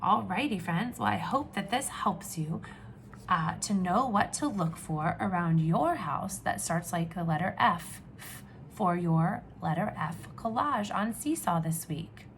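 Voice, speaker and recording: A woman teacher reading aloud to young children, with expressive questions and drawn-out emphasis. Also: on the low side, slight background noise. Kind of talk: children's read-aloud lesson.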